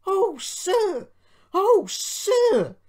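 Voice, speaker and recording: Woman acting little male storybook engine, very high, eager, excitable, exclaiming. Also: drawn-out words, full character voice. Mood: ecstatic. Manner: anxious, bubbly, exclaiming.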